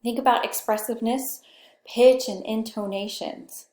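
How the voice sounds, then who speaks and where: A soft-spoken woman with a Pacific Northwest accent giving a conversational recorded course lecture, often checking in with "okay". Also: on the high side, steady tone, measured pace, roomy sound. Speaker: instructor.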